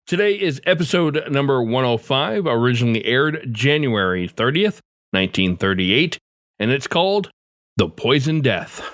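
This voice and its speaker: A man's voice: medium pitch, expressive, normal speed, fairly bright tone. A man hosting a podcast, reading a scripted show introduction. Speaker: podcast host.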